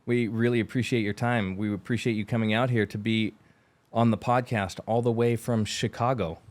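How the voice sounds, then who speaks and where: A man's voice: fairly low pitch, fairly even intonation, normal speed. A man hosting a podcast, asking eager, wordy questions that often restart mid-sentence. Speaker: podcast host. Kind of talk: casual interview chat.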